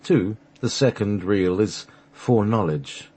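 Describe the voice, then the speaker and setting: A man narrating a sacred text for a radio play, halting at times as he reads from the script. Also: on the low side, dark tone, husky. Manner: halting, stumbles over the script and restarts.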